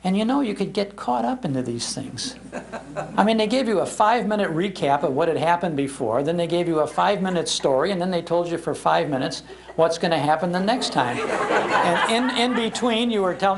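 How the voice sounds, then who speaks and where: A man's voice: high-pitched, animated, fairly quick, creaky, noisy background. An older American man telling humorous, nostalgic stories to a live audience in a folksy, emphatic way. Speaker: speaker giving a talk on old-time radio. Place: public library talk before an audience.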